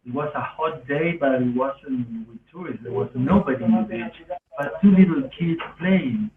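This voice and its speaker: A man's voice: mid-range voice, moderate pace, over a noisy crowd. A man with an Argentinian accent, a native Spanish speaker, telling personal stories in earnest, rambling, non-native English on a podcast. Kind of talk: casual autobiographical storytelling. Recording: roomy, distant, phone-like thin sound.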